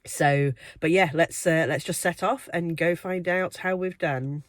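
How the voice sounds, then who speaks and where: A woman's voice: lowish voice, steady tone, measured pace. A woman talking casually to camera as a weight-loss vlogger, chatty and reflective with frequent ums and light laughs.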